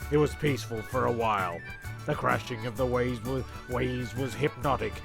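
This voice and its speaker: A man narrates a comic story in an offhand way. His medium-pitched voice is very gravelly, and he stumbles and repeats phrases while music plays clearly behind him. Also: normal speed.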